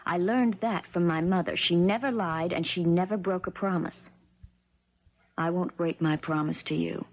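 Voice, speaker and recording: A husky young heiress played by a woman in a muffled 1970s radio drama. She is spoiled and scheming, coaxing with cool self-assurance in a fairly low voice. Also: normal speed, close to an everyday voice. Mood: cold, coaxing. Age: young adult. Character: spoiled, scheming rich young daughter, coldly persuasive. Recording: muffled vintage radio broadcast recording.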